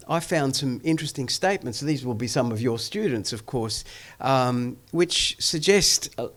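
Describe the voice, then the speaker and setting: Man giving a rambling academic talk. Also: medium pitch. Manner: discursive, many ums and self-corrections. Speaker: professor presenting research. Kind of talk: academic lecture. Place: event hall.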